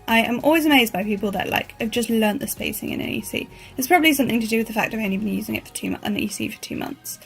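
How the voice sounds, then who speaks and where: A woman with a croaky voice, chatting casually over her planner video with a self-deprecating, rambling manner. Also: on the high side. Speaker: planner vlogger.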